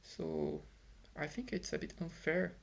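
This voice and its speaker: A man's voice: fairly high pitch, huge pitch swings, a little slow, gravelly, muffled recording. A young Brazilian man whose first language is Portuguese, speaking English with a Brazilian accent in a frustrated, rambling vlog full of fillers and restarts. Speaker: vlogger. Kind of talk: casual vlog monologue. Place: home in Ireland.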